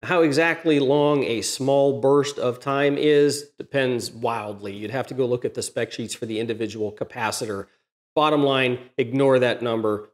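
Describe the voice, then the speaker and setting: A middle-aged man hosting a workshop channel, explaining a welder modification to the camera in a plain, methodical way, recorded in his home workshop. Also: medium pitch. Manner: methodical, plain-spoken, technical. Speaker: DIY welding channel host. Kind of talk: explanatory tutorial talk to camera.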